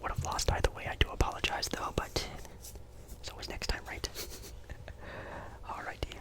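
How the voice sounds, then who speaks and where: A man whispering an ASMR roleplay as a friendly, apologetic casino dealer, breathy and chatty, with polite, hesitant reassurance. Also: normal speed.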